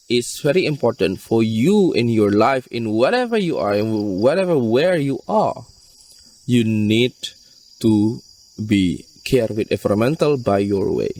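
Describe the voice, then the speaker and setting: An Indonesian-accented man speaks in a middle-pitched voice, explaining his work earnestly in halting English with many pauses. The mic sits some distance from him, so he sounds slightly far off. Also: big pitch swings, a little mellow. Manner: earnest, halting non-native English with frequent fillers. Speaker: rescue center manager. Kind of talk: interview answers. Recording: voice picked up from a distance.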